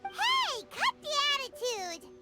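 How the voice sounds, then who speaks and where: A woman voicing a tiny, bossy, excitable fairy companion in a video game, squeaky and childlike. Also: slow, full character voice. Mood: indignant. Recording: game music behind.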